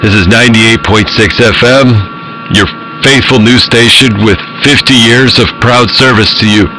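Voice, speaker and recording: An actor playing a solemn, resigned radio news announcer in an audio drama. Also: male voice, medium pitch, moderate pace, bright, forceful tone, soft background music, lightly acted, low-quality recording. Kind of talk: radio broadcast narration.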